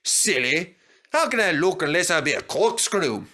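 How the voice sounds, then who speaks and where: A man's voice: fairly high pitch, very animated, normal speed, bright, forceful tone, voice pushed up, exaggerated voice. A man voicing a grumbling, fierce-hearted steam engine in a children's story, blunt and emphatic. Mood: objecting.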